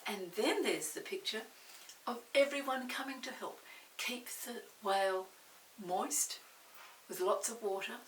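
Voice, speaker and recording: An older woman reading a story aloud to young children, expressive, pausing to explain and ask the listener questions. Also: on the high side. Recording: roomy, distant mic with faint hiss.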